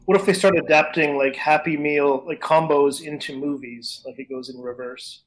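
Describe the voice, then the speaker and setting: A man's voice: on the high side, steady tone, normal speed, slight background noise, rough recording. A man co-hosting a podcast in casual chat, wandering and hesitant, with a self-deprecating manner. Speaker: podcast co-host.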